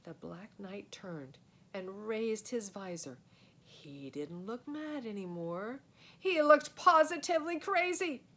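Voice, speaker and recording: A woman reading a children's book aloud as a storyteller, in an expressive, dramatic way. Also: medium pitch, slow. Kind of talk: animated children's book narration.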